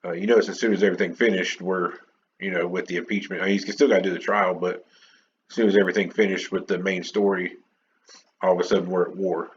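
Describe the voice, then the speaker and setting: A man's voice: sits low, fairly even intonation, fast, dull audio, slight background noise. A man hosting his own podcast alone, chatting unscripted and opinionated, rambling with many restarts and fillers. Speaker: podcast host. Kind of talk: unscripted solo podcast chat.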